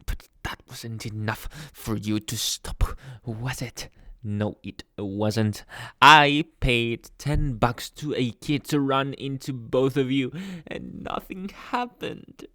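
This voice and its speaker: A man playing a jealous, possessive young boyfriend in an ASMR roleplay, speaking with dramatic pauses and dropping into whispers. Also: mid-range voice, pushed and bright, no echo, studio quality. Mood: aggrieved, obsessive.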